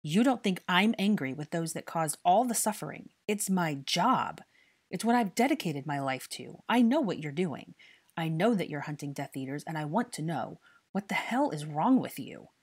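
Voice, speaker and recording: A woman's voice: lowish voice, animated, moderate pace, a little mellow, husky. A woman voicing a stern, furious young male investigator who scolds and interrogates with exasperated force in an audiobook story. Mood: heated, berating.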